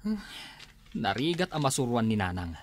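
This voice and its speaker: An actor playing a patient, weary grown son, a schoolteacher, speaking earnestly in a radio drama. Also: male voice, mid-range voice, sing-song, moderate pace, slight background noise, lightly acted. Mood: resigned. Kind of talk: Filipino radio drama acting.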